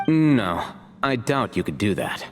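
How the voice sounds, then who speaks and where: Lazy smug scout from a video game, low voice, dry teasing, music playing. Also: male voice. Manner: deadpan, unhurried, teasing. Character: laid-back, deadpan, smug male scout.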